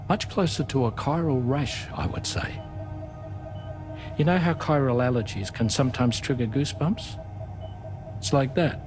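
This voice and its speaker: An actor playing a grave, analytical scientist-medic in a sci-fi video game, speaking precisely. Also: male voice, medium pitch, close to an everyday voice. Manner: precise, explanatory. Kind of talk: video game cutscene acting.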